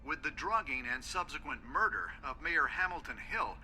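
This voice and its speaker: An actor playing a male TV news anchor in a video game, with a brisk newsreader delivery. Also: a little high, lively intonation, pushed and bright, a little hiss or hum, exaggerated voice, poor audio. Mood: formal.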